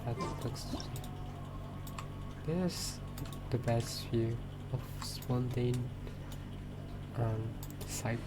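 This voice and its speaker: A woman gives casual gameplay commentary in a very deep voice. She speaks slowly, musing to herself as she plays, with loud game music underneath. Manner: musing aloud. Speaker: game streamer.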